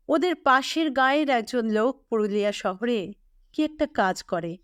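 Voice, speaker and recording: A woman narrating a literary audio story in a measured, expressive storytelling manner. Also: on the high side, clear, resonant tone, no room sound, clean studio sound.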